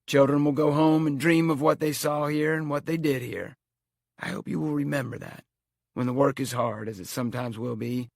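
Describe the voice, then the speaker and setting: A man's voice: medium pitch, no echo, studio quality, voice pushed up, lightly acted. A man voicing a very old male amusement park owner giving a solemn, sweeping speech.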